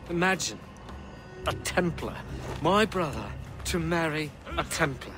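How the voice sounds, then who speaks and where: An actor playing a distraught, self-pitying drunken assassin in a melodramatic video game cutscene. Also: male voice, a little high, lively intonation, slow, music in the background. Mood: maudlin, slurred. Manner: theatrical, melodramatic, swinging between whining and outbursts.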